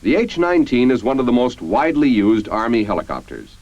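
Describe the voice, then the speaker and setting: An American man reading scripted 1950s military training-film narration in a formal, measured, instructional manner. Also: mid-range voice, sing-song, a little mellow, no echo. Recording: dull, band-limited 1950s film soundtrack.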